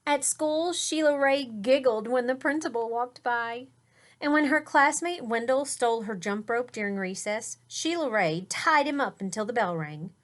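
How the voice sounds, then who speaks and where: A woman's voice: on the high side, normal speed. A woman teacher reading a picture book aloud to children, explaining words and pointing out the pictures, sometimes dropping to a whisper.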